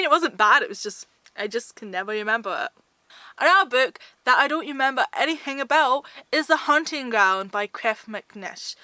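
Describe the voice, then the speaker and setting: A woman's voice: mid-range voice, bright, forceful tone, no room sound. A woman chats casually about books to her viewers, informal and self-deprecating in manner. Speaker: book vlogger. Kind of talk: casual book chat to viewers.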